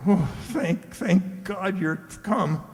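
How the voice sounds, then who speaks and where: An actor playing a panicked, indignant husband in a radio play, urgent and exclamatory. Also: male voice, high-pitched, slow pace. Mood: frantic, indignant. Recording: echoey hall, long reverb.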